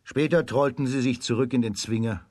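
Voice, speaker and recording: A man giving measured, scripted storytelling narration for a children's radio drama. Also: mid-range voice, darkish tone, no echo, clean studio sound.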